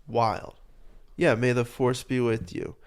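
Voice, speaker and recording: A man's voice: mid-range voice, flat delivery, takes it slowly, clean tone. A young man co-hosting a podcast in casual chat, sounding nasal from a cold, with a teasing, playful way of talking. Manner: teasing, playful, drifts off into asides. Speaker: podcast co-host.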